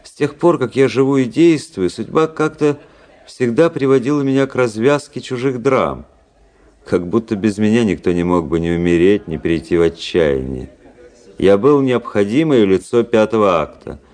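An actor playing a cool, melancholy, cynical young gentleman officer in a Russian radio play, speaking with detached irony and introspection. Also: fairly low pitch, animated, normal speed, lightly acted. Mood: brooding, melancholy. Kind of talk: Russian radio play acting, literary narration. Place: studio radio drama, music behind.

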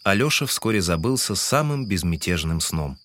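A man's voice: sits low, very animated, moderate pace, fairly bright tone. A man narrating a literary audio drama in a composed storytelling manner.

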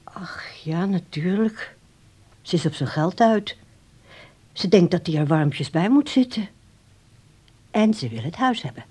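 An actress playing an insistent, coaxing grown daughter in a radio drama. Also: female voice, on the low side, lively intonation, unhurried, long pauses, warm tone, slightly rough. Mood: scornful, analytical. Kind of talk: radio drama dialogue and diary reading.

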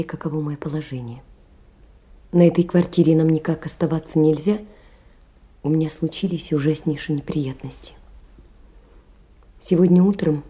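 A young woman in distress, with a very dark, soft voice pitched a little low. She pleads in a hushed, earnest theatrical monologue, poor but proud. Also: slightly rough. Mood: desperate pleading. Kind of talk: theatrical audio drama letter monologue.

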